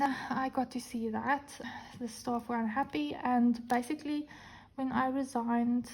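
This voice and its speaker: 沙哑低沉的南非口音女声. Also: female voice, on the high side, creaky, darkish tone, rough recording. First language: Afrikaans. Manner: chatty, candid storytelling. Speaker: diamond painting vlogger. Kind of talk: casual chat while crafting. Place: indoors at a craft table. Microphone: camera over the hands, speaker off-frame.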